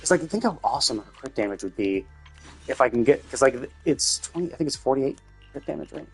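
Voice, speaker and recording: A man talks casually as a live game streamer. His medium-pitched voice rambles conversationally, with offhand swearing. Faint music plays behind him. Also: animated, fast, vocal fry. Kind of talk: casual gaming livestream chat.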